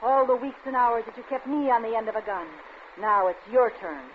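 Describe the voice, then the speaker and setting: Actress as female crook, scheming, seductive, vengeful, 1940s radio suspense acting, dull vintage sound, clear background noise. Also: a little high, fairly quick, close to an everyday voice. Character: scheming, vengeful, seductive woman crook narrating her own crime. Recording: dull, noisy old radio recording.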